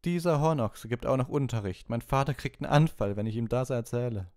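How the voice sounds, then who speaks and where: A man voicing an arrogant schoolboy bully in a storybook reading, with mocking, drawn-out sarcasm. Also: mid-range voice, a little mellow, voice pushed up, lightly acted. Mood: scornful. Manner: drawling, exaggerated sarcasm.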